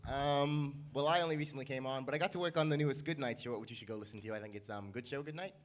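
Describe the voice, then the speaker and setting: A man's voice: mid-range voice, racing. A man chatting casually as a panelist at a convention, talking into a microphone. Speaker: panelist, audio editor. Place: convention meeting room with an audience.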